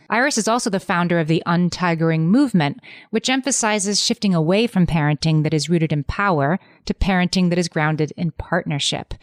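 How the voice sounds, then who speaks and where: A woman co-hosts a podcast, introducing her guest and asking questions in a curious, easy way. Her voice sits low and sounds dry and close, with no room around it. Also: no room sound. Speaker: podcast co-host. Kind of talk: podcast hosting.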